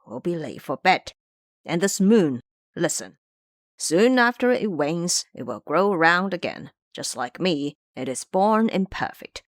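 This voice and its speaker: A foolish pig disciple voiced by a woman grumbles through an audio drama. He is blunt, greedy and full of complaint. The pitch moves around a lot, and the recording is dry. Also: very animated, unhurried, no echo. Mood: grumbling.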